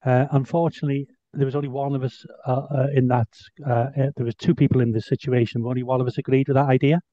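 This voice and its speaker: A man with a dark, relaxed voice talks casually as a podcast panellist. He builds his points in a wry, hesitant way, and the sound is dry and a little muffled. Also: medium pitch, quick pace, dry recording. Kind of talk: casual anecdotal discussion.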